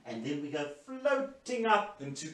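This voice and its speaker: Animated young New man, bright voice. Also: fairly high pitch, normal speed. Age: young adult. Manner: enthusiastic, encouraging, expressive. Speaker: children's storytelling presenter. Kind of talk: playful warm-up instruction for young children. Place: living room, indoors. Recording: roomy, distant camera sound.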